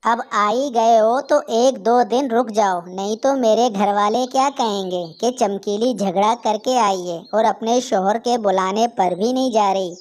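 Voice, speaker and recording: A woman's voice: mid-range voice, measured pace, few pauses, a little mellow, natural voice. A woman voicing a bossy, scheming crow wife in a cartoon, scolding and commanding.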